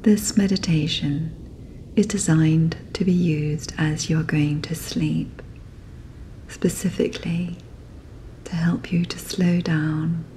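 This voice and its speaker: Very slow, hushed woman guiding sleep meditation. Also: low voice, fairly even intonation, slight background noise. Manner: soft, breathy, whispery, soothing. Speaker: guided meditation host. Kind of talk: guided sleep meditation narration.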